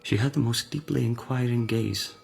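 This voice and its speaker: An actor playing a worldly former emperor turned monk in a radio drama, sensual and quietly seductive, measured and savoring his words. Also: mid-range voice, gravelly, a little hiss or hum. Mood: tender, seductive.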